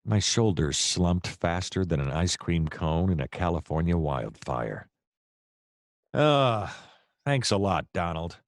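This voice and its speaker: A man's voice: low voice, sing-song, slow, dry recording, clean studio sound, exaggerated voice. A man playing a wry, self-pitying private detective in a noir radio play, narrating and speaking with theatrical flourish. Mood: dejected, wry.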